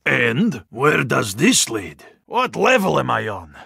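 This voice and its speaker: Video game hero: hulking Russian soldier, terse and formal, Russian accent, husky forceful delivery with huge swings in pitch. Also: male voice, huge pitch swings, no room sound, studio quality, full character voice. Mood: calm, grave. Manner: terse, formal, deliberate phrasing. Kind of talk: video game character voice lines.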